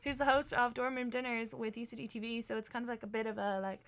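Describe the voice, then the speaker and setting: Young female student radio co-host, soft south Dublin accent, slightly high voice with vocal fry, chatty. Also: steady tone, fast talker. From Dublin, Ireland. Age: young adult. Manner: chatty, laughs often, many "yeah"s and restarts. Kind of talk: casual chat.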